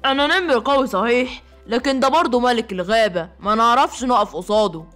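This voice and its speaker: A man plays a storybook tiger, strong yet submissive. The Egyptian-accented voice is strikingly high and quick, over light music. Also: a bit bright. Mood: resigned. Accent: Egyptian Arabic. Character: strong but cowed forest tiger.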